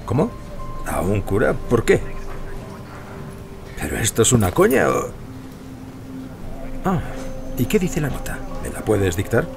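An actor playing a brisk, authoritative male police commissioner in a crime audio drama. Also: mid-range voice, sing-song, creaky, music in the background. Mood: brisk, surprised.

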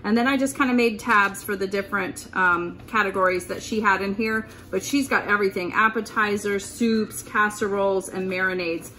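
A woman's voice: medium pitch, pushed and bright, a little hiss or hum. A woman talking informally and thinking aloud as she hosts a casual meal-prep demonstration at home. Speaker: host of a keto cooking channel. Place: indoors at home, kitchen work surface.

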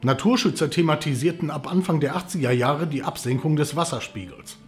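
A man's voice: fairly high pitch, a little fast. A man in his forties hosting a travel channel, presenting scripted narration to camera indoors. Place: indoors, living room. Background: background music.

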